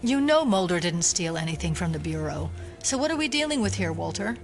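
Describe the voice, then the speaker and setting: A woman's voice: on the low side, sing-song, fairly quick, soft background music. A woman playing a determined, sceptical FBI agent, formal and insistent, in an audio drama. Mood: urgent, probing.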